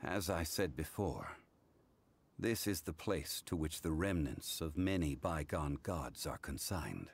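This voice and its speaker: An actor playing a calm, solemn ancient man, a wise former god in a video game, who speaks in a formal, measured, reflective way. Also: a bass voice, gravelly, a little hiss or hum, heavily acted. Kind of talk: English game voice acting, story dialogue.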